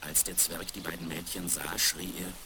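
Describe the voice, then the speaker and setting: A man's voice: sits low, flat delivery, moderate pace. A man narrating a scripted fairy tale for children as storyteller, on a crackly 1970s vinyl record.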